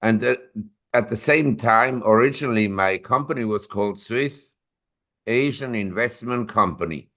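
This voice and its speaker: A man's voice: mid-range voice, normal speed, a bit bright. A man in his seventies, a newsletter publisher and interview guest, telling long rambling anecdotes with self-corrections. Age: 70s.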